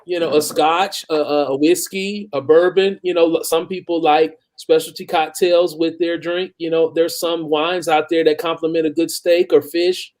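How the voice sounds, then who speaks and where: A man's voice: fairly high pitch, level tone, normal speed, hardly any pauses, a little mellow. An American man in his forties chatting casually as the wine expert guest on a panel show. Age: 40s.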